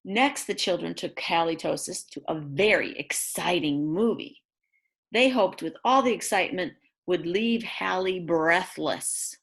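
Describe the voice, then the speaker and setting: A woman reads a picture book aloud to young children in an expressive way, adding chatty asides about the pictures. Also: lowish voice, unhurried, gravelly. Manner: expressive, exclaims and gasps over the pictures. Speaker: read-aloud storyteller for children.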